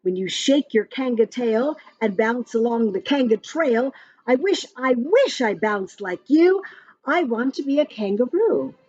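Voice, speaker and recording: A woman reading a rhyming picture book aloud to young children in an expressive, sing-song way, with counting and little asides. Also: a little high, a little slow. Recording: muffled lo-fi audio with background music. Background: music from a picture-book app.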